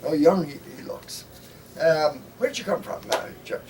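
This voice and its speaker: A man's voice: fairly high pitch, lively intonation, unhurried. An American man hosting a casual interview, talking in a rambling, folksy, informal way. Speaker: interview show host. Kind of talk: casual live interview chat. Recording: distant mic, faint ambient noise.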